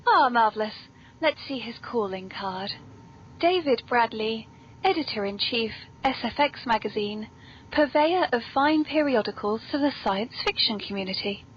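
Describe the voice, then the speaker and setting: A woman with a British accent and a fairly high voice hosts with playful, theatrical politeness. She sounds genteel, like someone presenting a whimsical tea party. The lo-fi recording is dull and slightly noisy. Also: expressive, moderate pace. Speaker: podcast host. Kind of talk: whimsical scripted hosting and genteel chat. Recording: dull lo-fi recording, background noise.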